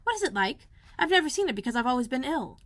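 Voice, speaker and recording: Storybook part: spoiled, sickly boy of ten played by a woman, plaintive and demanding, slightly raised pitch, dry sound. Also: big pitch swings, fast, fairly bright tone, no room sound. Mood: wistful. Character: imperious, self-pitying sickly ten-year-old rich boy.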